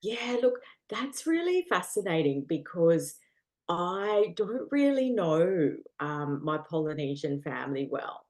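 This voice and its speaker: An Australian woman talks as a guest in a relaxed interview, her voice sitting on the low side. She is earnest and chatty, filling her sentences with "um" and "you know," and she laughs easily as she goes. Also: slow pace. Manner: earnest, laughs often, many fillers. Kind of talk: casual interview chat.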